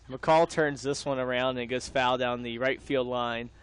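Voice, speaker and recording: A man's voice: mid-range voice, fairly even intonation, slow pace, clean tone. A young man doing live baseball play-by-play, mixing the calls with casual banter. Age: young adult.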